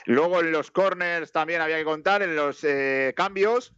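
A man's voice: a little high, normal speed, a bit bright, clean tone, dry recording. A man with a Spanish accent chats through a live football match in a loose, rambling way. His voice lifts whenever an attack builds. The sound is dry and a little dull. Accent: Spanish from Spain. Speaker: football commentator. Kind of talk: casual live match commentary.

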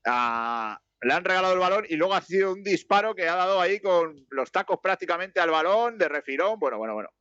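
A man's voice: high voice, animated, brisk, few pauses, fairly bright tone, no echo. A man with a Spanish accent chats through a live football match in a loose, rambling way. His voice lifts whenever an attack builds. The sound is dry and a little dull. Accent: Spanish from Spain. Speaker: football commentator. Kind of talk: casual live match commentary.

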